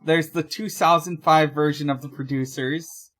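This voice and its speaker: A podcast host with a very bright, sharp voice at a middling pitch. The delivery is casual and rambling, halting as it goes along in loose chat. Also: steady tone.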